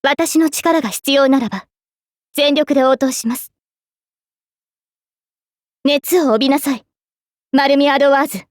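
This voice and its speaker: A high, youthful female voice for a noble mage-knight in an anime game. She speaks formally and with resolve, like a dignified leader commanding in battle. The sound is dry and close. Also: measured pace, long pauses, no room sound. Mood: resolute, commanding. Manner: formal, crisp commanding delivery.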